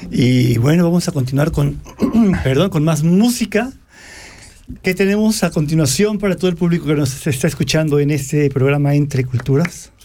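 A man's voice: fairly high pitch, normal speed, a little mellow. A man presenting a radio programme as its host, moving the show along.

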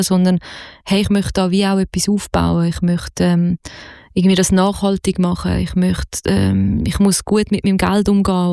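Soft-spoken Zurich woman, hesitant. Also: fairly low pitch, dry recording, studio quality. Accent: Zurich Swiss German. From Zurich, Switzerland. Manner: hesitant, many fillers and restarts. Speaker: singer-songwriter guest. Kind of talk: casual interview.

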